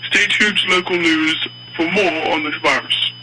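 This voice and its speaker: A man playing a solemn, earnest vice president, giving a formal and deliberate scripted address to the nation. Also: fairly high pitch, very noisy, close to an everyday voice. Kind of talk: scripted televised-style political address.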